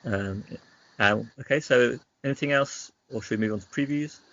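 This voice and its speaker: A man's voice: mid-range voice, normal speed, fairly bright tone. A man co-hosting a podcast, chatting casually about games in a hesitant, rambling way. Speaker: podcast co-host. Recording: dull lo-fi sound with faint noise.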